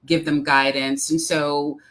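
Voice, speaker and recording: Midwestern woman, middle-aged, low deep voice, thoughtful and measured, lots of ums. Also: flat delivery, a bit bright. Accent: Midwestern American. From Detroit, Michigan. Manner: measured, reflective, many um fillers. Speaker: guest, executive coach. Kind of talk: interview conversation.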